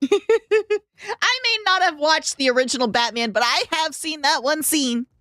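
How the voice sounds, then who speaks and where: A woman streamer chatting casually with her viewers, her voice hoarse, bubbly and talkative. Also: high-pitched, expressive, a little slow, fairly bright tone, no echo, studio quality. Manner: bubbly, talks to chat, hums tunes. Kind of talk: casual livestream reaction chat.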